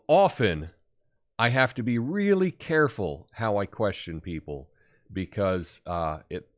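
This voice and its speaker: Male show host, deep and loud voice, lively pitch, casual advice monologue. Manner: loud, emphatic, swoops up high in acted examples.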